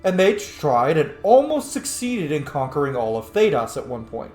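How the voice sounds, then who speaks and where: A man giving casual game commentary as he roleplays, musing out loud with emphatic words and some swearing. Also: fairly high pitch, very animated, normal speed. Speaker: gamer, host of a roleplay playthrough. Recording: echoey room, faint music behind. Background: faint game music.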